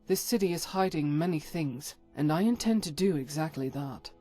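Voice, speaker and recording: A woman's voice: low-pitched, expressive, normal speed, dark tone, faint music behind. A woman acting a stern, devout cleric in a fantasy video game, solemn, formal and righteous. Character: zealous, stern female cleric, devout and unyielding.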